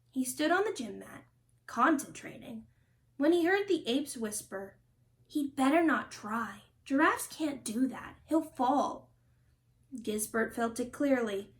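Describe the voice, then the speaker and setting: Woman reading a kids storybook out loud, high voice, takes her time. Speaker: storybook reader. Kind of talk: scripted children's story narration, read aloud.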